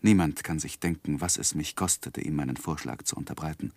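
An actor playing an earnest, sensitive young gentleman who narrates his life in a 1960s German radio play, measured and heartfelt. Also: a bass voice, no echo. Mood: reflective, downcast. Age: young adult.

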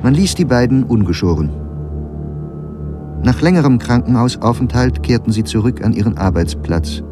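A man reading scripted documentary narration in a measured, factual manner. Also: mid-range voice, animated, music in the background.

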